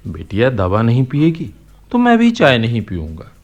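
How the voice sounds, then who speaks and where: An actor playing a kindly, middle-aged father figure in a Hindi radio play, speaking in a gentle, coaxing, philosophical way. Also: mid-range voice, huge pitch swings, normal speed.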